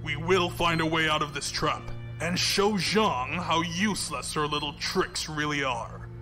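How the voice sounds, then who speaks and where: An actor playing a proud, stubborn villainous fleet commodore with a haughty, commanding manner in a space opera audio drama. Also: male voice, a little high, unhurried, over music, lightly acted. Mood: grim, defiant.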